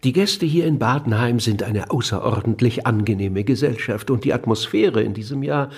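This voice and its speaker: A man acting an earnest, devoted festival impresario in a radio play, husky and gravelly, reassuring and ceremonious. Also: medium pitch, moderate pace, darkish tone, no echo, clean studio sound. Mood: admiring, fervent.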